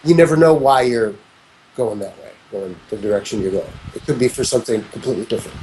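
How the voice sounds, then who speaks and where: A man in his early fifties speaks with a mellow, warm voice, drifting through long reflective thoughts and restarting his sentences as he talks. He is a guest in a relaxed interview, and a faint hiss of noise sits behind him. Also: medium pitch, quick pace, rough recording. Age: 50s. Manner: rambling, frequent restarts and "you know"s, reflective. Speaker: interview guest, music producer. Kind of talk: casual conversational interview.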